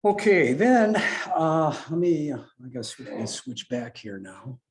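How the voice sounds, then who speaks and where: A man giving an informal explanatory presentation as a club speaker, in a halting manner with repeats and self-corrections. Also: on the high side, sing-song, darkish tone. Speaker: club presenter explaining radio contest rules. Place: club meeting room with a few people present.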